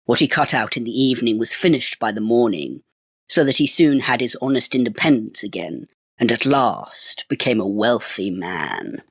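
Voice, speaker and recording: A male storyteller reads scripted fairy-tale narration. His mid-pitched voice moves up and down with plenty of expression, phrased in measured steps, and the sound is dry and close. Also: animated, vocal fry, fairly bright tone, husky, no echo.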